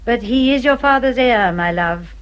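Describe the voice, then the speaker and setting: Woman acting a genteel, expressive English older lady. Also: a little high, clear, resonant tone, highs cut off, natural voice. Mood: worldly, tactful. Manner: genteel, measured, emphatic. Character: well-bred older lady, kindly, practical motherly adviser. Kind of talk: radio drama acting.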